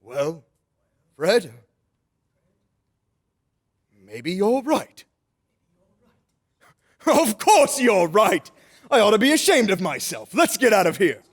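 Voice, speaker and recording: A man's voice: high-pitched, very animated, slow, long pauses, exaggerated voice. A man playing a jolly elderly Santa Claus in an old-time radio drama. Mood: brightening.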